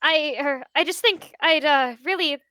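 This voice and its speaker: A woman's voice: sits high, measured pace, pushed and bright, dry recording, pitched above the performer's own voice. A woman voicing a nervous young male warrior cat who stammers and fumbles for words. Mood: flustered. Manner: flustered, stammering.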